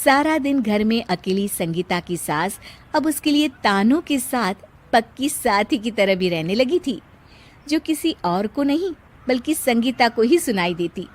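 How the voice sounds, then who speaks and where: Woman narrating a story, higher-pitched voice. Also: animated, measured pace. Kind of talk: scripted narration of a cartoon moral story.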